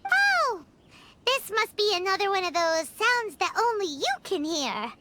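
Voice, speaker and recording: A woman's voice: big pitch swings, a little slow, noisy background, full character voice. A woman voicing a tiny, chatty, fairy-like companion girl in a video game, talking about herself in the third person. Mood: curious. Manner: high, bouncy, refers to herself in third person. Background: loud game music.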